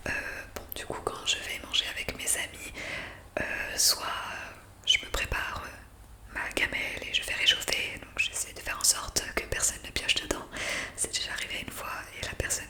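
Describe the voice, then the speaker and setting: Woman, whispered ASMR roleplay, crisp bright sibilance, polite hesitant delivery, close mic. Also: moderate pace. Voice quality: breathy, unvoiced whisper. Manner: hesitant, improvised explaining with polite formal address. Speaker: ASMR roleplayer playing a zombie intake worker. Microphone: close USB condenser microphone.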